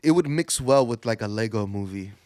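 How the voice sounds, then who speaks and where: A man's voice: fairly low pitch, expressive, fairly quick, a little mellow, no room sound, clean studio sound. A man co-hosting a podcast, speaking in casual, everyday conversation. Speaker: podcast co-host. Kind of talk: casual group podcast chat.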